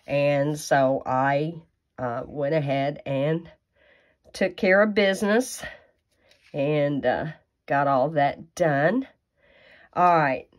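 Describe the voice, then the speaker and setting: A woman from talks through a craft tutorial, her voice low and her pace unhurried. Her nose sounds stuffed up from a sinus infection, and she chats in a folksy way with plenty of little fillers. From Tennessee, USA. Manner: chatty and folksy, with frequent fillers and asides. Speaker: craft demonstrator. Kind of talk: casual card-making tutorial.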